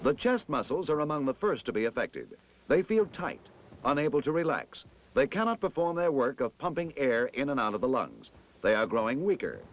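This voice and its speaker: A man narrating a scripted 1960s military instructional film in a formal, emphatic, measured manner. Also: medium pitch, big pitch swings, poor audio.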